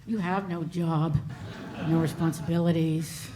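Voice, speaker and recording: A woman's voice: low voice, steady tone, measured pace, noisy background. A woman acting a sardonic, bossy cartoon gas station worker in a live script read. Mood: withering. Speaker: actor at a table read. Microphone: stage microphone on stand.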